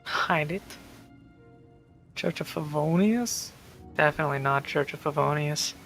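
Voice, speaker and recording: A man's voice: on the high side, measured pace, vocal fry, a bit bright. A man reacting casually to a video game as a streamer, in short, informal interjections. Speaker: gaming streamer. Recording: game music behind voice.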